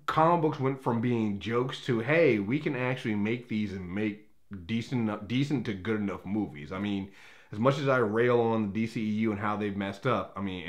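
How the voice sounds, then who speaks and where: A man hosting a movie review in a casual, unscripted way, rambling and opinionated, often backtracking as he talks. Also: fairly low pitch.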